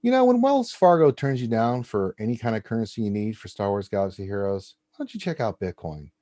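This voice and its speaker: Man, co-host, joking and teasing, mellow tone, casual chat. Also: on the low side, a little fast. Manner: jokey, teasing, animated delivery. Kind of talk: casual gaming show chat.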